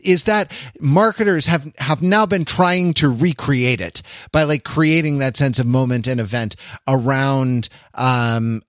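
An American man co-hosting a podcast in casual analytical discussion, wordy and intellectual, often restarting his sentences haltingly. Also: mid-range voice, a bit bright, no room sound, clean studio sound. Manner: hesitant, halting delivery with repeated false starts. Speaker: podcast co-host.

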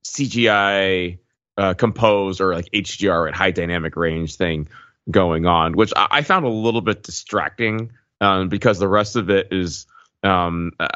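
A man's voice: sits low, measured pace, fairly bright tone, dry recording, dull audio. A man co-hosting a podcast, discussing film casually in a hesitant, stop-start way. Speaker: podcast co-host.

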